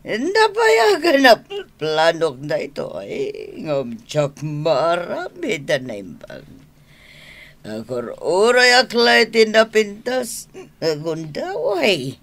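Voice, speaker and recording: A woman's voice: medium pitch, huge pitch swings, slow, full character voice. Flustered, scheming mother, swooping theatrically. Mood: sly, secretive. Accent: Ilocano. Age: middle-aged. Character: scheming, flustered middle-aged mother who runs a beer house. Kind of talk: Ilocano radio drama acting.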